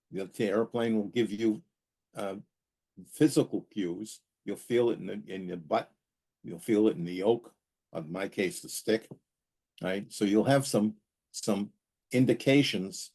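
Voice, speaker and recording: A man talking casually as a podcast host, explaining technical matters in a halting way and repeating words for emphasis. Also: lowish voice, darkish tone. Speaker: aviation safety podcast host and pilot.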